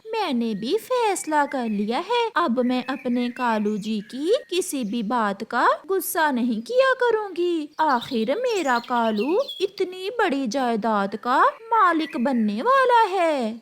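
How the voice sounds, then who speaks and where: Woman voicing a high, lively scheming wife crow. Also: slow, hardly any pauses, a little hiss or hum, full character voice. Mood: coaxing. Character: scheming, coaxing young mother crow, a wife and daughter. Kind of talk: Hindi cartoon story dialogue.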